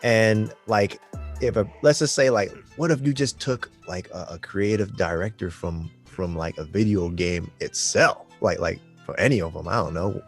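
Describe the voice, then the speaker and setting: A man's voice: low voice, moderate pace, vocal fry. A man chatting casually in slangy, laid-back phrasing. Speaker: podcast co-host. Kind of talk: casual chat. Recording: music under the voice.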